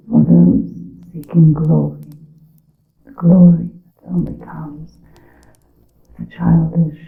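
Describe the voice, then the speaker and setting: A woman playing a secretive, solemn witch in an ASMR roleplay, whispering slowly in archaic formal words, with a creaky breathy voice. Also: low-pitched, full character voice. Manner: slow, deliberate, archaic formal wording. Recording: muffled narrow-band sound with room echo.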